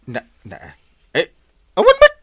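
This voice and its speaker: An actor with an Ilocano Filipino accent playing an excitable, exasperated village captain in a radio comedy, stammering as he goes. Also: measured pace, no echo, close to an everyday voice. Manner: stammering, sputtering interjections. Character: excitable, exasperated village captain, comic middle-aged man. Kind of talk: comedy radio drama acting.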